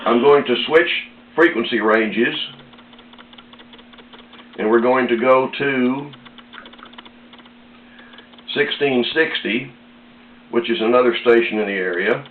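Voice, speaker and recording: An American man giving a casual, unhurried product demonstration in plain words, pausing as he explains. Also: mid-range voice. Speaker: radio seller demonstrating a set. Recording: echoey, dull lo-fi audio with faint noise.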